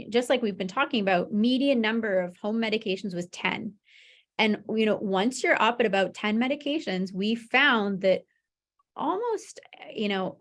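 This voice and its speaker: Woman presenting research, slightly creaky voice. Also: medium pitch. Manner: informal explaining with frequent "you know" and "right?" check-ins. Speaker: medical researcher presenting a study. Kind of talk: webinar research presentation, conversational explaining.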